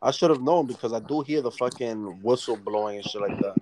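A man in a relaxed, profane casual chat who often trails off mid-thought while making his point. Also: mid-range voice, a little fast, poor audio.

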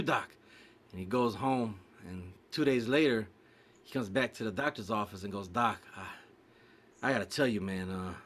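A man telling a long joke in casual chat, acting out a stuttering patient and his doctor. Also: mid-range voice. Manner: animated storyteller mimicking a stutter.

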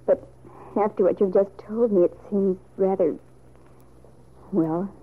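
Young woman, played by a radio actress, tinny old broadcast, gentle, hesitant, earnest, soap opera drama. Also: mid-range voice, steady tone, measured pace, natural voice. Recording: thin, phone-like old radio recording.

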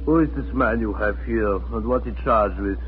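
An actor playing a smug, menacing German occupation colonel in a 1940s radio drama, imperious and sardonic. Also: male voice, lowish voice, moderate pace, lightly acted. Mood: smug, dismissive. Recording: scratchy, phone-like 1940s radio recording.

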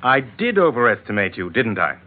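A man's voice: fairly low pitch, big pitch swings, fairly quick. An actor playing a suave, educated villain's henchman with a dry, superior, precisely worded manner in an old-time radio drama. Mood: cool menace. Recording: tinny vintage radio recording.

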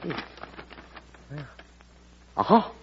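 An actor playing a formal, ceremonious ambassador in an old-time radio drama. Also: male voice, extremely slow. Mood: politely triumphant. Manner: polite, ceremonious. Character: courteous, formal Japanese ambassador, quietly triumphant. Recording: dull, husky-sounding old radio recording.

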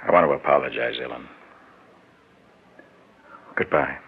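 A man's voice: very deep voice, measured pace, vocal fry, slightly rough, heavily acted. An American actor playing a proud, restless, worldly man in a radio drama, sardonic and theatrical. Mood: stiff regret. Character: proud, restless, worldly man, bitterly scornful of domestic comfort. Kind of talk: mid-century American radio drama acting. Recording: dull, noisy old radio recording.

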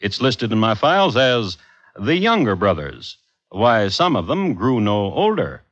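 A man playing a dry, scholarly crime historian, narrating deadpan and precisely in a 1950s radio show. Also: fairly low pitch, measured pace, no echo. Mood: dry, wry. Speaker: storyteller host of a radio crime series. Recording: dull old 1950s radio recording.

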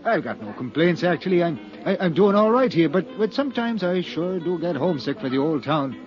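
A folksy, middle-aged American ex-pilot living abroad, worn by the world. His voice sits slightly high. It is a muffled 1950s radio drama recording with faint music underneath. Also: sing-song, brisk, darkish tone. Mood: resigned, wistful. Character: homesick, world-weary expatriate American ex-pilot turned aviation inspector.

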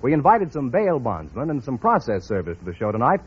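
A man's voice: mid-range voice, huge pitch swings, brisk, husky. An American man announcing a quiz show in a mid-century radio style. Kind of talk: 1940s-50s radio announcing. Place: radio studio. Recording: muffled old radio recording.

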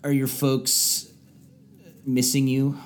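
A man's voice: mid-range voice, completely flat delivery, slow pace. A man hosting a radio show, talking in an enthusiastic, conversational way with playful asides. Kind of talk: scripted intro and interview.

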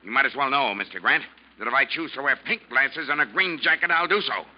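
An actor playing a proud, evasive ship's captain with a curt, defensive manner in an old-time radio drama. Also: male voice, mid-range voice, fast, close to an everyday voice. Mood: defensive anger. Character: proud, evasive ship's captain hiding his failing eyesight. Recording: muffled vintage radio drama recording.

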